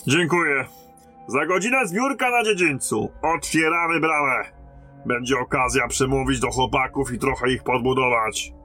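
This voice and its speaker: An actor playing a battle-hardened lieutenant in command of a besieged stronghold in a fantasy audio drama, with a commanding manner. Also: male voice, medium pitch, bright, forceful tone, faint music behind, lightly acted. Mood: firm, businesslike. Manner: commanding, barking orders in battle, measured when talking.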